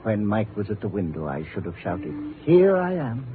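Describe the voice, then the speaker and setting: A man's voice: lowish voice, big pitch swings, moderate pace. A man playing a sad, resigned, broken-down old musician in a 1950s radio drama. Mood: regretful, resigned. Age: older. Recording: faintly noisy narrowband old radio.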